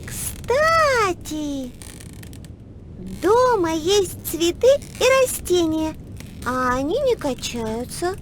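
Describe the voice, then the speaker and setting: A woman voicing a curious, excitable little girl who wonders aloud expressively in a children's educational cartoon. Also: very high-pitched, very slow, slight background noise, heavily acted. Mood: curious, puzzled.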